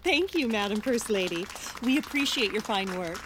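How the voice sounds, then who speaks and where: A woman's voice: medium pitch, expressive, moderate pace, close to an everyday voice. An actress playing a courteous, formal, deferential woman at a ceremony in an audio drama. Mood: polite. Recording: clearly noisy background.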